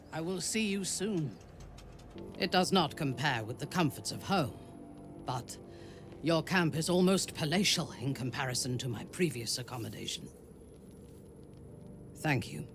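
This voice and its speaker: A proud female commander from a video game, stern and coldly vengeful. The delivery is formal, measured and commanding, with clear music behind it. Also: medium pitch. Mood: reflective. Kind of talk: video game dialogue acting. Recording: music under the voice.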